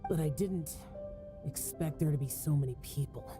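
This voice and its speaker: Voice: medium pitch, normal speed, lightly acted. An actor voicing a solemn young male demon slayer in a video game, reserved and cautious, with music behind. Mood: wary, reluctant.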